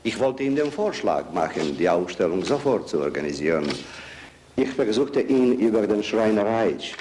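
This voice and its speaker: An actor playing a cautious, deferential middle-aged museum director, speaking politely. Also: male voice, on the low side, sing-song, moderate pace, a little mellow, slight background noise. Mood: subdued. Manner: careful, polite, subdued. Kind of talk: film acting.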